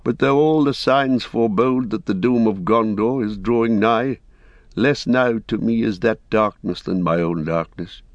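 A man's voice: on the low side, a little slow, no echo, lo-fi sound. A man doing a grim, cold old lord. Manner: cold, grave, stately.

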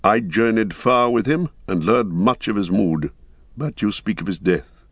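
A wise old wizard speaks gravely and in measured phrases, as a male reader voices him. His voice is low. The recording is dry and muted. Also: animated, dry recording, dull audio.

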